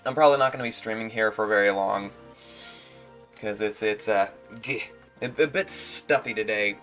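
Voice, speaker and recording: A man with a stuffy nose talking casually on a livestream as a streamer, halting and repeating his words as he goes. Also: lowish voice, fairly bright tone, soft background music.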